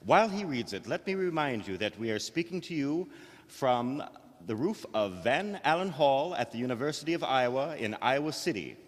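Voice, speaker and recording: An actor playing an earnest radio news reporter in an old-time radio drama, speaking in a formal, measured announcer style. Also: male voice, a little high. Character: earnest, probing male radio news reporter interviewing live. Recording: hall reverb.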